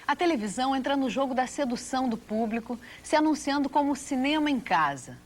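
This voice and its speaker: A woman's voice: sits high, lively intonation, a little fast. A Brazilian woman reading scripted documentary narration.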